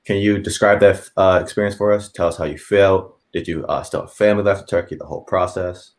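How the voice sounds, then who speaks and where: A man's voice: deep voice, steady tone, fairly quick. A teenage boy with an American accent moderating an online discussion, a little hesitant and restarting his sentences. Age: teens. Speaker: student moderator.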